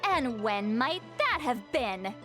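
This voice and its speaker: A woman's voice: on the high side, sing-song, takes it slowly, pushed and bright, faint music behind, full character voice. A woman voicing a pointed, haughty young woman in an anime-style game. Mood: accusing.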